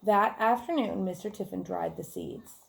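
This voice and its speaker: A woman's voice: medium pitch, normal speed. A woman reading a children's story aloud like a teacher, expressively, with chatty asides to the listeners. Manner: clear storytelling with acted-out dialogue and questions to listeners.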